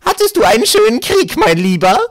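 A dotty, prickly old great-aunt from a storybook. The voice is very high, shrill and loud, swooping all over. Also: male voice, wildly swooping pitch, brisk, exaggerated voice. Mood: snippy. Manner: shrill, loud, cooing or snippy. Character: batty old great-aunt, prickly, doting on stuffed weasel.

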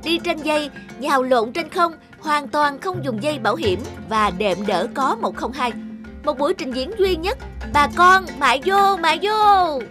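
An actor playing an excited young circus barker in a cartoon, calling out loudly to draw a crowd. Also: male voice, extremely high pitch, a little slow, over music. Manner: loud, calling out.

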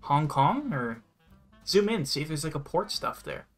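Higher-pitched young man hosting a game, music behind. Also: big pitch swings, normal speed. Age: young adult. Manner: encouraging, enthusiastic. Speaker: host of a gaming show. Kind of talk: casual game commentary. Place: indoor room, sharing a webcam frame with a friend.

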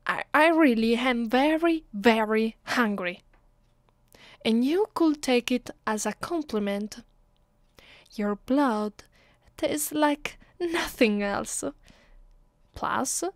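A woman with an Italian accent playing an affectionate, clingy vampire girlfriend in an ASMR roleplay, speaking softly, intimately and a little hesitantly. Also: a little high, no echo. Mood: pleading, playful. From Italy. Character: affectionate, clingy, playfully pleading young female vampire girlfriend. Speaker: voice actress.

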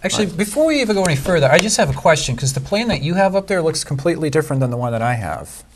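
A man on a town planning board, asking quick clarifying questions during a public meeting. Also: a little high, very animated, slight background noise. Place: town hall meeting room.

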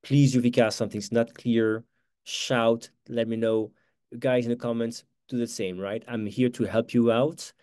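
Fast-talking man with a French accent. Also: mid-range voice, level tone, a little mellow, clean tone. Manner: fast, chatty, self-correcting, with quick laughs. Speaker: workshop host. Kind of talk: live online workshop with screen share.

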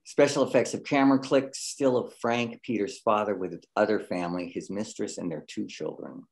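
A man's voice: lowish voice, normal speed, creaky, poor audio. A man reading screenplay stage directions aloud as scripted narration.

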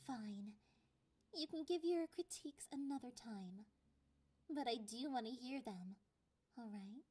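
A woman voicing a clingy, possessive catgirl girlfriend in an ASMR roleplay, with a playful, condescending and drawn-out delivery. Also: a little high, lively intonation, dark tone, husky. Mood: soft, affectionate.

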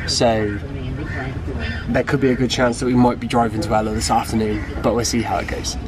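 A man's voice: mid-range voice, flat delivery, a little fast. A man in his twenties chatting casually and informally to a handheld camera as a travel vlogger. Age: 20s. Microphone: camera at arm's length.

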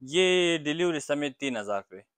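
A young man selling blankets in his small shop, eagerly pitching prices and agreeing with quick repeated yeses. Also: expressive, fairly bright tone. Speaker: blanket shopkeeper. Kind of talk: sales pitch to a vlogger.

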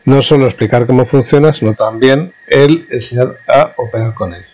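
A man's voice: mid-range voice, darkish tone, poor audio. A man with a mid-range voice talks through a practical technical demonstration. His delivery is informal and explanatory, like an instructor at work. He often halts and rephrases himself as he goes. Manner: halting, self-correcting, explanatory. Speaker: radio communications instructor.

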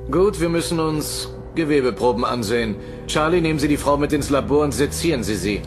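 A man's voice: fairly high pitch, lively intonation, brisk, close to an everyday voice. An actor playing a cold, clinical male boss in a sci-fi audio drama, speaking with authority. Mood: commanding. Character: cold commanding male boss ordering dissections. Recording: dramatic music under the voice.

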